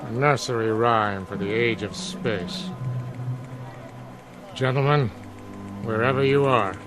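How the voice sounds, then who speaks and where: A man's voice: mid-range voice, takes it slowly, background noise, lightly acted. A man playing a troubled, solemn general at mission control in a science fiction drama.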